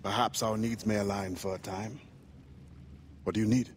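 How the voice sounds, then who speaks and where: An actor playing a dignified warrior of a secret brotherhood, speaking formally and with measure in a game cutscene. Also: male voice, lowish voice, fairly even intonation, moderate pace, slight background noise, lightly acted. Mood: measured, courteous. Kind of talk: video game cutscene acting.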